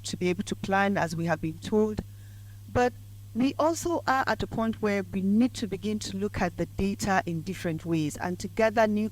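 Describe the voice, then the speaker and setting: Hoarse woman giving a lecture. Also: mid-range voice, a little mellow, poor audio. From Nigeria. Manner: measured and emphatic, building to points. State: croaky, hoarse voice. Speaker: guest speaker at a public lecture. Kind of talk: formal lecture speech. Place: lecture event.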